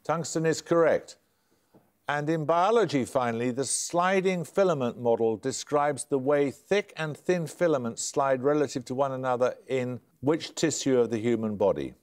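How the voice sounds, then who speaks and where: An older man hosting a television quiz, reading questions in a crisp, measured way with dry amusement. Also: mid-range voice. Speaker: quiz show host. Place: television studio.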